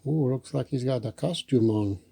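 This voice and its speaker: A man's voice: medium pitch, normal speed, gravelly, warm tone, slightly rough. A man hosting storytime, reading a children's book and adding folksy, chatty asides. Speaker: storyteller and host.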